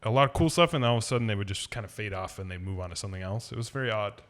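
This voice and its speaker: A man's voice: fairly low pitch, rapid-fire, fairly bright tone. A man co-hosting a podcast, giving film opinions in an informal, slangy and sometimes sweary way. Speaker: podcast co-host. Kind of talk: casual movie review chat.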